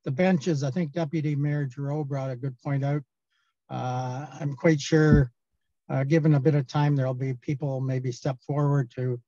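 A man's voice: a little high, mellow, no echo, highs cut off. A Canadian man speaking as a councillor in a meeting, earnest and insistent, repeating phrases as he argues. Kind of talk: council debate.

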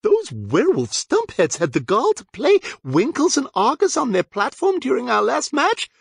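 A fierce schoolgirl team captain, as voiced by a male narrator, pitched far up from a man's usual talk. She is indignant and grimly determined, a storybook heroine out for revenge. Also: huge pitch swings, normal speed, gravelly, husky, no room sound, heavily acted. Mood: indignant, resolute. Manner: resolute, indignant.

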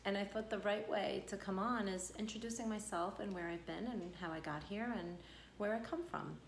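A woman's voice: mid-range voice, normal speed, vocal fry, slight background noise. A woman, talking to camera about her working life in a chatty, rambling way full of fillers. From born in Argentina, raised on Long Island, New York. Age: 40s. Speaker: real estate broker. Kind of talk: casual personal introduction to camera. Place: home living room, indoors. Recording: echoey room.

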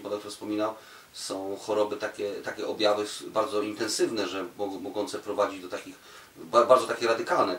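Quick-talking male interviewer, echoey and distant. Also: fairly low pitch, fairly bright tone. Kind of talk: conversational interview questioning. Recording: roomy echo, distant from the mic.

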